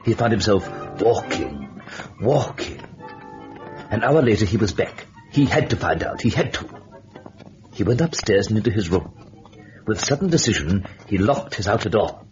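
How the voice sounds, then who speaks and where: A man's voice: on the low side, very animated, normal speed, pauses often, a little mellow. A man giving suspenseful, dramatic story narration for radio in a theatrical, rhetorical way. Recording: dull old radio recording, music behind. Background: music.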